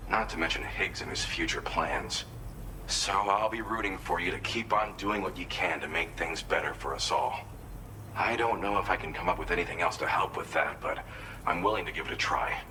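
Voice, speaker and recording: A man playing an earnest, grateful researcher in a video game cutscene, explaining things in a measured, conscientious way. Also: low voice, bright, forceful tone, a little hiss or hum, rough recording. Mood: grateful, cautioning. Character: earnest, grateful male researcher and weapons inventor briefing a courier.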